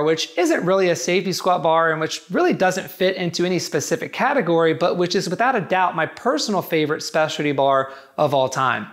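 A man's voice: on the high side, a bit bright. A man giving a scripted, matter-of-fact review of home gym barbells. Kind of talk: scripted product review narration.

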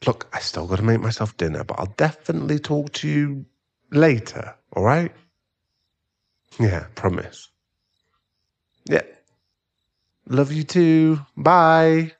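Male actor as weary irritable boyfriend, ASMR audio drama, unhurried halting delivery, very varied intonation, exasperated. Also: a little high, very animated, gravelly, highs cut off, exaggerated voice. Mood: placating. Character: irritable, weary sugar daddy boyfriend wanting space.

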